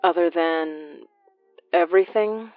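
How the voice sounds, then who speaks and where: An actress playing a guarded, wry woman in naturalistic audio drama dialogue, speaking in a halting, understated way. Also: lowish voice, no room sound, phone-quality sound, faint music behind.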